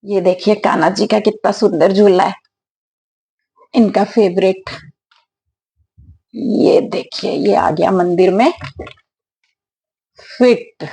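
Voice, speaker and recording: A woman with a slightly hoarse throat, clearing it now and then, talking gently and affectionately in a casual devotional vlog at her home altar. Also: mid-range voice, steady tone, takes it slowly, pauses often. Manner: gentle, affectionate, preachy at times. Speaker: devotional vlogger.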